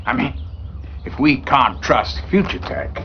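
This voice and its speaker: A man's voice: mid-range voice, big pitch swings, unhurried, a little hiss or hum. A male actor playing a complacent politician in a video game, giving glib reassurances as if to the press. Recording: dull lo-fi game audio.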